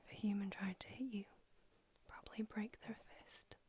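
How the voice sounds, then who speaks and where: A woman's voice: medium pitch, fairly even intonation, normal speed, darkish tone, slightly rough. A woman whispering as an ageless female vampire doctor in an ASMR roleplay, with dry, blunt, slightly condescending remarks. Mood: clinical whisper. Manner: whispered throughout.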